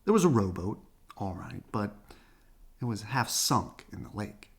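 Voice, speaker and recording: An expressive reader narrates a children's storybook at an unhurried pace. The pitch moves up and down freely, and the phrasing is deliberate and playful. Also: gravelly, husky.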